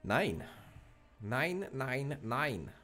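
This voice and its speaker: A man's voice: medium pitch, big pitch swings, drawn-out words, a bit bright, soft background music. A man hosting a gaming video, chatting casually with wry, self-deprecating remarks. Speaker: gaming channel host. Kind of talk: casual let's-play commentary.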